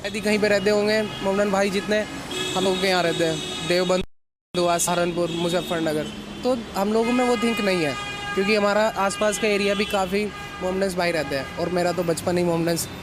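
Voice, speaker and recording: Traffic noise is clear around a man. His voice is high, and he talks casually as a member of the public being interviewed. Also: flat delivery, brisk. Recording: noisy outdoor street with traffic.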